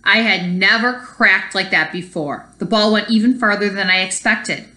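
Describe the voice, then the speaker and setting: A woman's voice: medium pitch, normal speed, pushed and bright. A woman teacher reading a children's story aloud expressively and explaining it to young pupils. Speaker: elementary school teacher.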